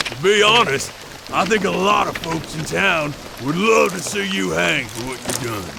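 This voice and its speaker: An actor playing a tough, practical frontier bounty hunter in a western audio drama, with drawling, folksy menace. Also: male voice, sits high, lively intonation, moderate pace, vocal fry, noisy background, exaggerated voice. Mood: grimly practical.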